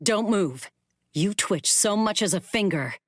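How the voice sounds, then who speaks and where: A woman's voice: expressive, a little slow, no room sound, studio quality. A woman playing a confident warrior in an anime game dub, firm, controlled and threatening. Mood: stern warning. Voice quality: low female voice.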